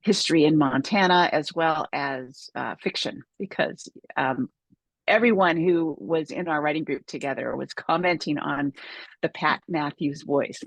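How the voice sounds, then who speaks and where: Woman, husky, lowish pitch, dry sound, interview host, affirming. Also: measured pace, no room sound. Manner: warm, affirming, restarts phrases. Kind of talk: casual conversational interview.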